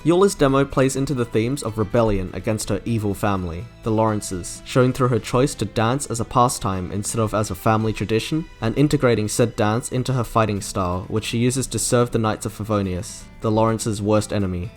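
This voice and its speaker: A young man giving scripted commentary as a video game reviewer, with music playing behind him. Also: on the low side. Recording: background music under narration.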